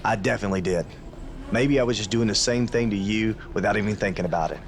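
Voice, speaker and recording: Guy playing apologetic wrestler in a game, conciliatory, deepish, slight ambient noise. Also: fast, lightly acted. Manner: conversational, conciliatory. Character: apologetic, sincere veteran wrestler. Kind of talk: video game cutscene acting. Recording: faint ambient background noise.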